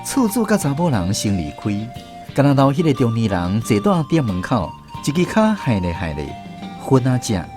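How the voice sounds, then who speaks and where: A man's voice: medium pitch, wildly swooping pitch, moderate pace, over music. A man with a Taiwanese accent reading scripted story narration in a radio drama.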